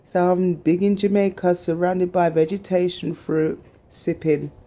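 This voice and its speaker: A woman's voice: lowish voice, level tone, measured pace, dark tone. A middle-aged woman telling her own story in a chatty, emphatic way, full of fillers, then urging viewers on. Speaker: vlogger sharing her surgery journey. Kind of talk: casual personal storytelling to camera.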